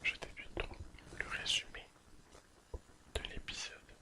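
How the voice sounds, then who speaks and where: Casting: ASMR reader, whispers throughout, very slow and soothing delivery. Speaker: ASMR creator. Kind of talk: whispered ASMR reading of an episode summary.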